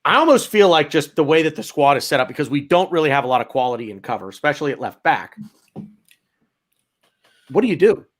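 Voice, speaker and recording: Teasing, higher-voiced podcast host man. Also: sing-song, fast talker, pauses often. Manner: playful, teasing, asks long questions. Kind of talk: casual football podcast chat.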